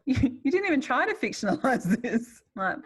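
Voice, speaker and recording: A woman's voice: sits high, sing-song, fairly quick, fairly bright tone, slightly rough. A middle-aged woman with an Australian accent chatting casually and candidly in a wry, self-deprecating way. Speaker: writer in conversation.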